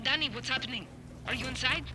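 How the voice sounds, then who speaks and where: Game rebel leader on radio: urgent, commanding, very high and quick, female. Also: over music.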